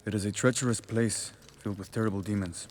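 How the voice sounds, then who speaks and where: A man playing a grave, proud rebel warrior leader who speaks formally and deliberately in a video game cutscene. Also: lowish voice, steady tone, a little mellow, slight background noise, close to an everyday voice. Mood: grave, regretful. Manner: formal, deliberate, few contractions. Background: faint background music.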